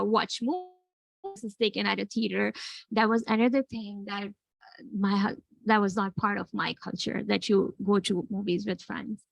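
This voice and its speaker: A woman in her forties with a background and a fairly high voice with a gravelly edge. She speaks with earnest reflection, pausing and restarting often. She moves between personal talk and readings from her own book in a dry, close-sounding space. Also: a bit bright, no echo, poor audio. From Karachi, Pakistan. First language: Urdu. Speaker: author and guest speaker.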